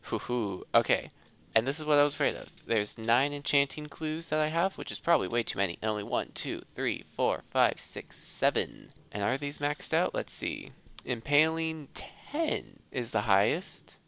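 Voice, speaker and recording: A man's voice: mid-range voice, big pitch swings, moderate pace, gravelly, bright, forceful tone. A man hosting a gaming video, giving casual commentary and thinking aloud as he talks through each step. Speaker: host of a gaming video series.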